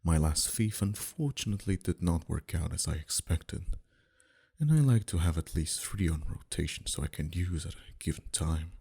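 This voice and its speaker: A man playing a smug, flirtatious and menacing mafia boss in an intimate ASMR roleplay, dominant and teasing, sometimes dropping to a breathy whisper. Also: lively intonation, moderate pace, full character voice. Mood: flirtatious, coaxing. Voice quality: very deep, dark, husky.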